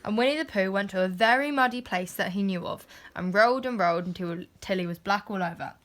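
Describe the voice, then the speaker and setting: Woman reading a children's story, mid-pitched voice. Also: quick pace, fairly bright tone. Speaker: storybook reader.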